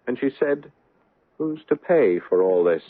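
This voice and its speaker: An actor playing an anguished young bridegroom in a 1970s radio drama, agitated and halting, with intense emphasis. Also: male voice, mid-range voice, animated, dry recording. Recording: dry lo-fi old radio broadcast.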